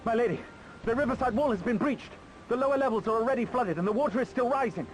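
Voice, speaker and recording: High-voiced, anxious soldier. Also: male voice, fast, faint music behind, poor audio. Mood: urgent alarm. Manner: hesitant, formal, subservient. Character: anxious, deferential soldier reporting to his lady commander. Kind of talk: dramatic video game cutscene acting. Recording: clear noise behind the voice.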